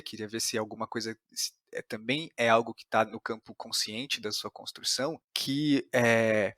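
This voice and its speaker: A man's voice: mid-range voice, sharp tone, no room sound, studio quality. A man with a Brazilian accent hosting an interview podcast, giving reflective, analytical commentary. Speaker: podcast host interviewing a playwright.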